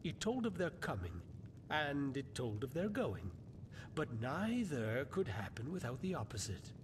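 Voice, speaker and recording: A man's voice: sing-song, measured pace. A man voicing an eerie, mock-courteous prophet in a video game, speaking in portentous, theatrical riddles. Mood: cryptic, solemn. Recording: rumbling background noise.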